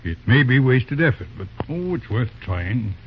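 An actor playing a calm, resourceful older uncle in an old-time radio drama, genteel and commanding. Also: male voice, medium pitch, normal speed. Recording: tinny, hissy old radio recording.

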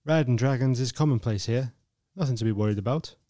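A woman voicing a calm young man who speaks softly and reassuringly in a lowered voice. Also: a little fast, no echo, studio quality. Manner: soft, low, soothing.

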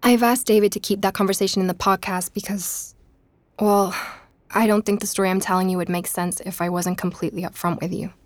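An actress playing a guilt-ridden young woman podcaster, narrating an audio drama as an intimate, halting, reflective confession. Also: medium pitch, fairly even intonation, no room sound, lightly acted. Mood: remorseful. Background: music.

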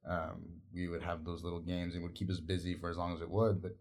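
A man's voice: deep voice, level tone, quick pace, a little mellow. A man hosting a podcast, chatting in a laid-back, blunt way and swearing casually. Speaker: podcast host. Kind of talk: casual interview chat.

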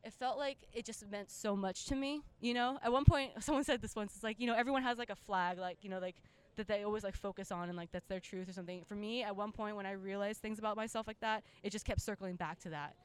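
A woman's voice: medium pitch, fast talker, smooth. A woman from Japan speaking passionately and casually as an interviewed musician, peppering her talk with "like" and "you know.". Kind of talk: casual, opinionated interview chat.

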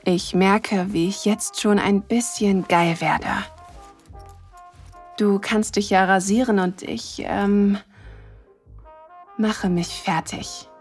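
Audio drama lead, played by a woman: mid-pitched, tender and sensual, eager lover telling the story intimately, light music underneath. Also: steady tone, slow pace, long pauses, close to an everyday voice. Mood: aroused, eager. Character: affectionate, eager woman in love with her partner. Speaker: narrator and lead character. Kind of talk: intimate second-person audio drama narration.

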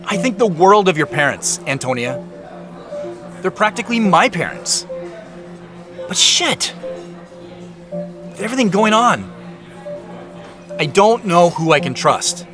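An anxious, expressive man with music behind. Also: high voice, unhurried, pauses often. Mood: agitated, defensive. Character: anxious, remorseful man chasing a mystery, narrating his story. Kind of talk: audio drama dialogue and first-person narration.

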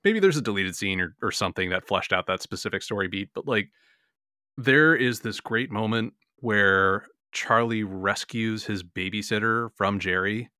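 Bright-voiced chatty man. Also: on the low side, normal speed, no room sound, studio quality. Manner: chatty, enthusiastic, lots of filler likes. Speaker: podcast co-host. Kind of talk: casual chat.

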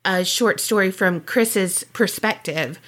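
A woman co-hosting a casual chat, talking like an excited fan and acting out her own reactions emphatically. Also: mid-range voice, slow. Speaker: podcast co-host.

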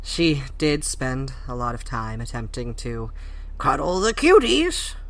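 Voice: mid-range voice, huge pitch swings, measured pace, fairly bright tone, full character voice. An actor playing a polite, self-conscious scientist colleague in an audio drama, prone to stammering. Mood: polite, wry.

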